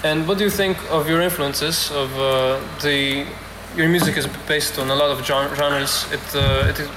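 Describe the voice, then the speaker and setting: Halting male interviewer in an echoey room. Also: on the high side, fairly even intonation. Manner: halting, searching for words. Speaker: radio interviewer. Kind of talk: informal interview. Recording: echoey room with noticeable noise.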